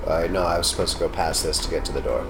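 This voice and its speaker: A man's voice: a bass voice, rapid-fire. A man talking casually as he plays a video game on a live stream. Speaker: gamer playing on a live stream. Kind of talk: casual gameplay commentary and chat. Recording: steady room hum, air-conditioning noise.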